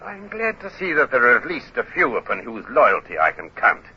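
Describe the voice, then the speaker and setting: An actor playing a mad, suspicious older naval captain in a theatrical radio drama style, with a rough, raspy voice. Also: male voice, medium pitch, huge pitch swings, normal speed, exaggerated voice. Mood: sly, menacing. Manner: menacing, theatrical, swinging from soft to shouting. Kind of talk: 1950s radio drama acting. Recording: muffled old radio recording.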